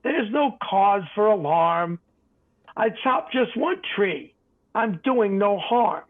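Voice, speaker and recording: A secretive old hermit, played by a man, telling of his past in measured storybook verse. The voice is husky and fairly high, with a dramatic lilt. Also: animated, phone-quality sound, heavily acted. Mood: placating. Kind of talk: storybook character narration.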